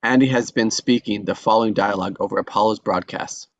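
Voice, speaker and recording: A man's voice: on the low side, fast talker, dry recording, highs cut off. A man reading stage directions as scripted narration at a table read.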